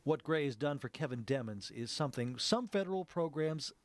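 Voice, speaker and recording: A man's voice: on the high side, animated, brisk, a little hiss or hum. A man working as a television news reporter, reading scripted narration.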